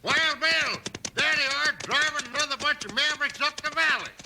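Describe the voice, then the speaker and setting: Cranky high-pitched old prospector. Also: male voice, moderate pace, gravelly. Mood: urgent. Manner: crusty frontier slang. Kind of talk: 1950s radio western acting. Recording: noisy, crackly 1950s radio recording.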